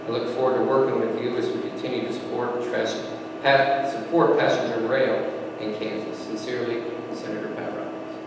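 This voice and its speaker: A man's voice: mid-range voice, flat delivery, normal speed. A man reading a formal written statement aloud as a political representative at a public meeting. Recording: very echoey hall, distant mic, noisy.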